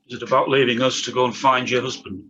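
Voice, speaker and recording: A man's voice: steady tone, fast, pushed and bright, lightly acted. A man playing a frank, practical friend in a survivor group at a table read. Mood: frank, steady. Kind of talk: table-read drama acting. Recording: dull, noisy low-fidelity home recording.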